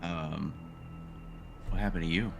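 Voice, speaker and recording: A man speaking casually and haltingly as a player in a tabletop roleplaying game. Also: low voice, steady tone, gravelly. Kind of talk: casual in-character game chat. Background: music.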